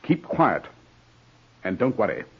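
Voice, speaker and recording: A muffled old radio recording of a commanding gentleman. His voice is lowish and husky with very varied intonation, measured and probing in 1940s radio style. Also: huge pitch swings, full character voice. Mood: grave, controlled. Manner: measured, authoritative, questioning. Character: composed, commanding English gentleman, brisk and probing.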